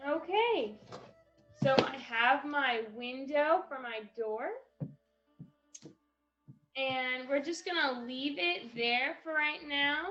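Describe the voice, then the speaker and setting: A woman presenting a casual step-by-step craft tutorial for children, speaking in an instructional way with pauses between phrases. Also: high voice, sharp tone, lo-fi sound, soft background music. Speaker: craft presenter for a children's read-aloud project.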